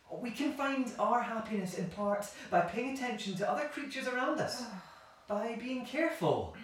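An actress in an audio play voicing an earnest, reflective idealist who speaks in measured, thoughtful argument. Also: female voice, on the high side, a bit bright. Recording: very echoey room, far from mic.

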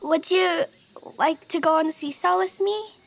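A woman's voice: very high-pitched, normal speed. A female performer playing a sweet, persistent little girl, polite and coaxing, in an audio drama. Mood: shy, polite. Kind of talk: audio fiction acting.

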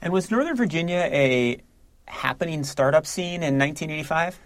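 A man's voice: fairly high pitch, animated, brisk. A man interviewing an author, asking questions in a friendly, structured way.

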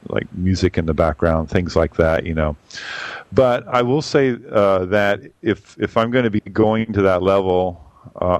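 A man with a boomy voice giving a rambling, casual camera review full of fillers and restarts. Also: no room sound. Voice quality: boomy on a mic. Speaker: photography podcast regular reviewing cameras.

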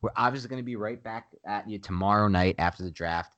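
Nasal low-voiced man on a podcast. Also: quick pace, fairly bright tone, dry recording, highs cut off. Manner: emphatic, repeats himself when pressing a point. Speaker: podcast co-host. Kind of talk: casual sports podcast chat.

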